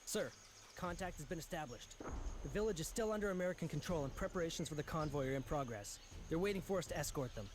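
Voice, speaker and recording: A man acts out a dutiful soldier from a war video game, reporting to a superior. His delivery is fast and businesslike, a little high in pitch. It sounds distant, with clear noise around it. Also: lively intonation, natural voice. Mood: urgent, dutiful.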